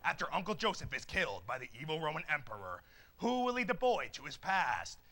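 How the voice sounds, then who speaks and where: A man playing a dramatic movie-trailer announcer with a booming, portentous delivery. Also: very animated, a little fast, bright, forceful tone, full character voice. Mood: ominous.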